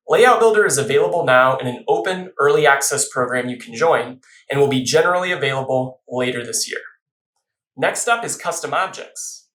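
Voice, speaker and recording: A man's voice: mid-range voice, a bit bright, echoey room. A man giving a polished, explanatory corporate product presentation from a script.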